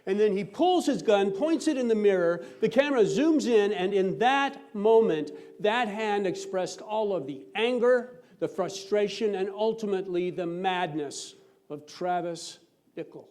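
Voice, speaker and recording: A man with a notably high voice delivers a humorous storytelling speech on stage. He boasts with a straight, mock-serious air and leans on theatrical pauses for effect. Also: expressive, darkish tone. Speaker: speech contest competitor telling a comic tall tale. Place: live on stage before a laughing audience.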